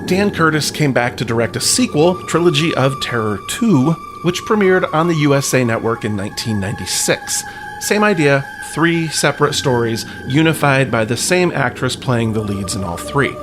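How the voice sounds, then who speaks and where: A man hosts a podcast with lively, swinging intonation. He narrates conversationally and drops in wry, colloquial asides. Light music plays behind him. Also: mid-range voice, moderate pace. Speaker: podcast host.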